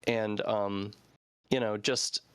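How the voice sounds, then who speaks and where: A man's voice: on the low side, fairly even intonation, takes it slowly, a bit bright, clear, resonant tone, no echo, studio quality. A man in his thirties chatting casually as a panel guest, earnest and enthusiastic. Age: 30s. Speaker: guest, fan translation team member.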